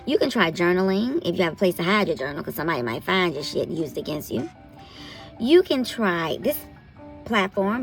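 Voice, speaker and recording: An American woman speaks in a candid monologue with a gravelly edge and animated swings in pitch. Her manner is blunt and profane, with folksy terms of address. Faint music plays along with her. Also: poor audio. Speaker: health commentary host and nurse practitioner. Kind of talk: candid, informal advice monologue.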